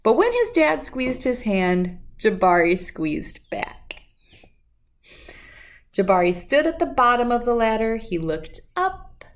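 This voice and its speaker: A woman speaking as a children's read-aloud host, expressive and storybook-like, with drawn-out emphasis. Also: on the high side. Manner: slow, animated delivery with drawn-out emphasis for young listeners.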